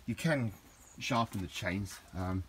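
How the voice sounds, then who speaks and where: A middle-aged man with a low voice, rambling through a casual hands-on garden demonstration with lots of restarts. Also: takes it slowly, a bit bright, a little hiss or hum. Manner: rambling, with many restarts and fillers. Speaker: DIY vlogger. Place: outdoors in a garden.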